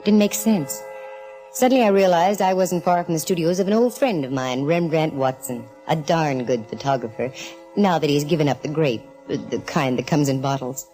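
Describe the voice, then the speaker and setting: Low, mellow, wry female private eye, played by an actress. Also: soft background music, lightly acted. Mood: wry, reflective. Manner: breezy, wry, quick with quips. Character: wisecracking, flirty female private eye narrating her case. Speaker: lead actress. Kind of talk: 1940s radio detective acting. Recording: vintage 1940s radio recording.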